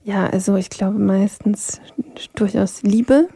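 A Vienna-born woman whose first language is Polish, a singer answering interview questions thoughtfully and pausing to search for words. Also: medium pitch, gravelly, dark tone, slightly rough, no room sound. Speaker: singer guest. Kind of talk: interview conversation.

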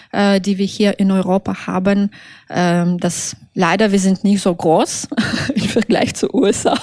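A woman from Belarus, a native Russian speaker, answering interview questions in halting, careful German, with many fillers and self-corrections. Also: medium pitch, darkish tone, no echo, studio quality. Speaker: author being interviewed.

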